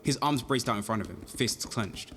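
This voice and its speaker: A man's voice: medium pitch, moderate pace, fairly bright tone, close to an everyday voice. An actor playing an anxious, earnest young amateur detective in an audio drama, flustered and precisely worded as he acts and narrates his story. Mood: panicked. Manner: flustered, stammering, protesting, precise wording. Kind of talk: audio drama acting with first-person narration.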